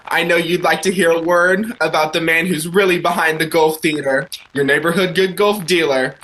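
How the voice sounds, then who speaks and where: A man playing a genial 1940s radio theater announcer and sponsor pitchman, speaking in a formal, promotional style. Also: a bit bright, close to an everyday voice, rough recording.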